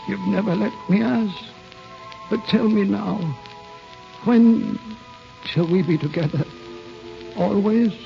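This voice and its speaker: An actor playing a frail, remorseful, lovelorn old uncle in an old radio drama, halting and emotional. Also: male voice, high-pitched, vocal fry, soft background music, exaggerated voice. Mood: bewildered wonder. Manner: halting, emotional, repeating words. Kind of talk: 1940s radio drama acting. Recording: muffled, crackly old radio broadcast.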